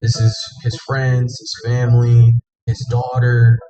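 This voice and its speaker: A man's voice: mid-range voice, completely flat delivery, measured pace, muffled recording. Earnest male host over music. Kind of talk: reality show talk.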